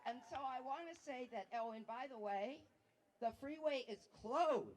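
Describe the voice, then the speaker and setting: A woman human rights activist giving a slow, solemn rally speech into a microphone outdoors, building to shouted calls to the crowd. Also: high-pitched, very noisy. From United States. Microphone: public address microphone.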